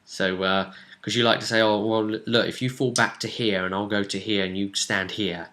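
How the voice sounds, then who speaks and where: Bright-voiced man recapping a role-playing game. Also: lowish voice, steady tone, moderate pace. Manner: explanatory, often ending on tag questions. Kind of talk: casual conversational game recap.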